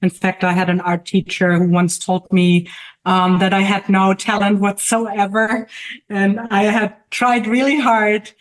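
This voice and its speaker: A middle-aged woman with a German accent, speaking in an ordinary middle register. She talks in a relaxed, conversational way, often pausing to find her words. The sound is that of a video call. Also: mid-range voice, poor audio. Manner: hesitant, pauses often to search for words. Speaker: guest artist and teacher. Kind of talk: casual interview chat.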